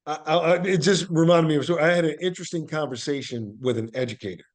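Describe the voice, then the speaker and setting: A man with a somewhat higher-pitched voice speaks as an interview guest. He tells stories in a conversational way and makes his points in deliberate, emphatic short statements. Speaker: podcast guest, actor and playwright.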